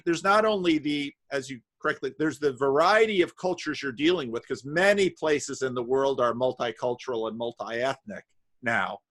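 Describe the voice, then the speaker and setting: A man's voice: fairly high pitch, fairly bright tone. A middle-aged man hosting an interview, asking interested questions and musing aloud, often restarting his thoughts. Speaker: host. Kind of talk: interview conversation.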